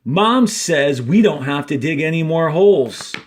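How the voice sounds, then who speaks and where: A man's voice: fairly high pitch, expressive, a little slow, slight background noise, voice pushed up. A man voicing eager, slangy teenage camp boys in a storybook, in a casual, punchy, friendly way. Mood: urgent, casual.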